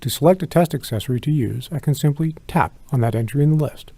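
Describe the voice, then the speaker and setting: A man with a mid-pitched, gravelly voice narrates a product demonstration step by step. His tone is mellow and instructional, and the delivery is measured and methodical. The sound is close and dry. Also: no echo. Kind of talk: scripted product tutorial narration.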